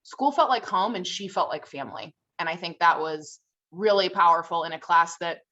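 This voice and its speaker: A woman from Illinois, a teacher on a panel, speaks in a bright, slightly tense voice pitched a little low. She tells her story in a heartfelt, animated way. The audio is dull and lo-fi. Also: normal speed. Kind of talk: casual panel discussion.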